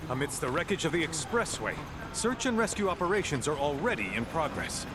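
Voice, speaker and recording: An actor playing a formal television news reporter in a video game, giving a scripted report. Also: male voice, fairly high pitch, big pitch swings, brisk. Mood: grave, formal. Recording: loud music over the voice.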